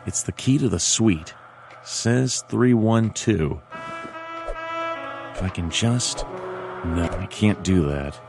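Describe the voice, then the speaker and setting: An actor playing a weary, deadpan detective in a noir adventure game, muttering dryly to himself. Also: male voice, low voice, slow pace, creaky, long pauses, over music, heavily acted. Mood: dry, unimpressed.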